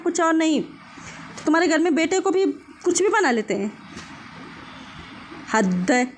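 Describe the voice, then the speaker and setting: A woman's voice: high voice, slight background noise. A woman giving a casual, opinionated rant in a scolding, confrontational way, repeating phrases mockingly. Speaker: vlogger commenting on an online controversy.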